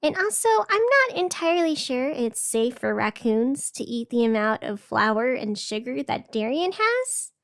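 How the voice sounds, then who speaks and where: A woman's voice: on the high side, big pitch swings, measured pace, few pauses, fairly bright tone, no echo, clean studio sound, exaggerated voice. A woman playing a frazzled, chatty radio host reporting live in a fiction podcast, with an informal, exasperated manner. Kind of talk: fiction podcast broadcast narration.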